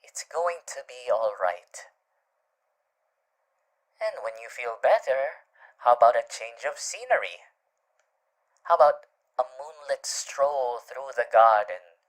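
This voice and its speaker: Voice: mid-range voice, animated, slow, long pauses, poor audio. An actor voicing a suave, theatrical male demon who soothes the listener tenderly in an ASMR roleplay, often dropping to murmurs. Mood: gently reassuring.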